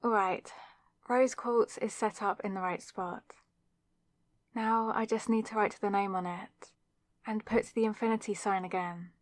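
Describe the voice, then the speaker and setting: Girl voice actor doing a shy lovestruck young witch, asmr roleplay, talks to herself kinda slow, super dry close sound. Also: medium pitch, steady tone, no room sound, natural voice. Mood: focused, chatty. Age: young adult. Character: nervous lovestruck young student witch, secretly crushing on classmate. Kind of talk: ASMR audio roleplay, scripted solo monologue.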